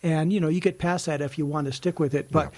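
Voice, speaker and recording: A man's voice: a little high, fairly even intonation, quick pace, no echo. A man explaining his ukulele club in a casual interview, eager, often restarting and repeating words.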